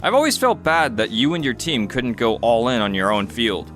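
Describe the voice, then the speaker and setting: A man's voice: medium pitch, animated, normal speed, fairly bright tone, faint music behind, close to an everyday voice. A man voicing a mild, reasonable young company founder in a dubbed comic drama. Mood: upbeat, earnest.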